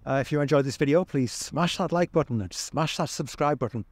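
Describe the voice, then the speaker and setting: A man's voice: a little high, brisk, no echo. Wry middle-aged man presenting. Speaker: video presenter. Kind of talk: scripted narration to camera, wry. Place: outdoors on seaside town streets.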